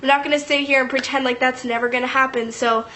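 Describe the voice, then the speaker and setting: A woman's voice: high-pitched, steady tone, fast, sharp tone, a little hiss or hum. A young woman with a congested, stuffy voice from a cold, chatting casually to her channel, full of "like" fillers and earnest asides. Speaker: YouTuber. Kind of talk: casual storytime vlog.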